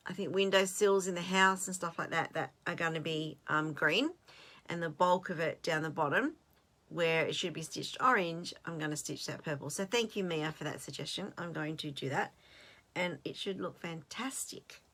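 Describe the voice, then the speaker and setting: An Australian woman in her late fifties chats casually as host of her own channel. She rambles and restarts, laughing at herself. Her voice sits a little low but sounds bright and forward. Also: normal speed. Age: 50s. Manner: chatty, rambling, self-deprecating with fillers and restarts. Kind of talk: casual chat.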